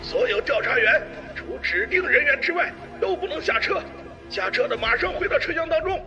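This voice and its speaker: A man voicing an urgent monk commander who shouts orders through a tinny loudspeaker in a dramatised audiobook. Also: extremely high pitch, big pitch swings, a little fast, voice pushed up. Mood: shouting orders. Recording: music playing behind the voice.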